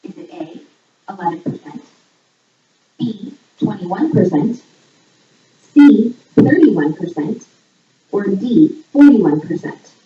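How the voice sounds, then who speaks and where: A woman hosting a trivia game in a scripted voice-over, reading questions and answers in a measured, item-by-item way. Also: expressive. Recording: echoey, distant, muffled low-fidelity playback. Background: voice audio played back in the room while filming.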